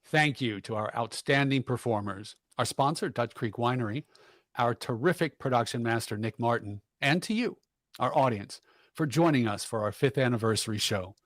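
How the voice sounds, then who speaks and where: A man's voice: mid-range voice, moderate pace, a bit bright, no echo. A man hosting a radio show, reading a scripted sign-off. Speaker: show host.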